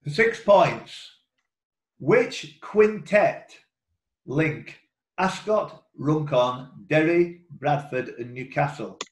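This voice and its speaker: A man hosting a quiz on a group video call, reading questions in a deliberate way with informal banter. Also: a little high, lively intonation. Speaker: quiz host and question setter. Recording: echoey room over video call.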